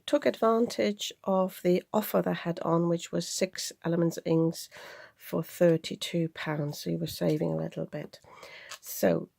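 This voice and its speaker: A woman's voice: lowish voice, creaky, mellow, dry recording, studio quality. A woman chatting casually and a little hesitantly as she presents craft supplies in a show-and-tell video. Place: indoors at a craft desk.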